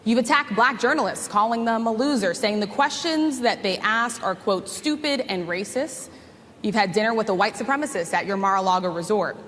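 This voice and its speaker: A woman with an American accent putting pointed, measured questions in a formal interview. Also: mid-range voice, a bit bright. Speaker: journalist, interviewer. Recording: echoey hall, background noise.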